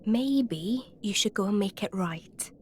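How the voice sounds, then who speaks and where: A woman playing a brisk, blunt young female companion in a video game, casually teasing and chiding. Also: mid-range voice, expressive, slight background noise. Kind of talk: video game cutscene acting.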